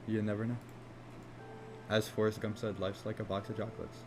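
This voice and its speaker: A man casually chatting on a game development live stream, rambling and swearing easily, with a somewhat monotone voice. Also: on the low side, brisk, music in the background. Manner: rambling, laid-back, swears casually, frequent "like" fillers.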